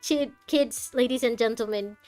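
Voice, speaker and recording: Expressive high-voiced woman streaming a game. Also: normal speed. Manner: expressive, reacting aloud, jokey. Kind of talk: live playthrough commentary with casual chat to viewers. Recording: music behind the voice. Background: game music.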